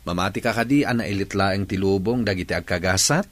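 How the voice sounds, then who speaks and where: A man's voice: sits low, fast talker, a bit bright, no echo. A man playing an earnest, devoted young lover in an Ilocano radio drama, with an Ilocano accent.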